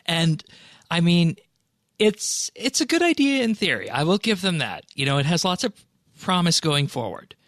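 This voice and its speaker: A man hosting a podcast in casual discussion, explaining things in a measured, somewhat hesitant way. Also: on the high side, very animated, a bit bright, no room sound, clean studio sound. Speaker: podcast host.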